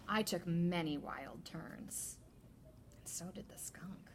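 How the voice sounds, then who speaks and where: A woman reading a children's picture book aloud as a teacher librarian, with deliberate, expressive storytelling. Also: fairly low pitch, in a whisper.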